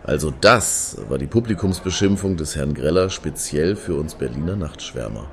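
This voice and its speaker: A man in a radio play acting an unimpressed, gruff Berlin night owl with a dry, dismissive manner. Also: a bass voice, expressive, moderate pace, a little hiss or hum, exaggerated voice.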